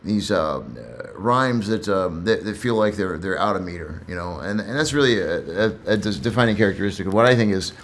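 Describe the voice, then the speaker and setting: A man's voice: deep voice, fairly quick, hardly any pauses. A man who reads and comments on poetry in a casual, reflective way, somewhat hesitant and repeating himself.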